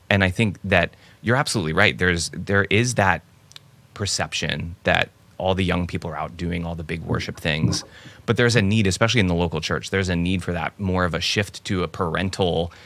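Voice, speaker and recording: A man around forty hosting a podcast, asking relaxed questions and giving short affirmations. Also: deep voice, a little fast, creaky. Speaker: podcast host. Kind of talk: casual interview.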